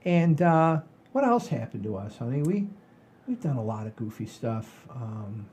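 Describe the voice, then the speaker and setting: An older man hosting a podcast, telling stories in a folksy, rambling, animated way with a husky voice. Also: medium pitch, unhurried. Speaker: podcast host. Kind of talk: casual chat and storytelling.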